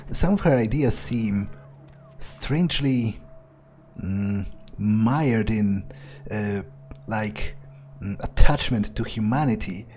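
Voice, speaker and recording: A man's voice: lowish voice, slow, warm tone, soft background music. A man playing a cynical, callous young vampire in an in-character game playthrough, musing aloud in a hesitant, dismissive way. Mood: pensive, puzzled. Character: callous, cynical young male vampire, foul-mouthed loner.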